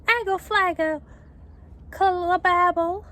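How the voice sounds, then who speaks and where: Woman doing an upset, high-pitched babbling toddler girl. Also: steady tone, takes it slowly, heavily acted. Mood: urgent babbling. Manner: babbles loudly in made-up baby words.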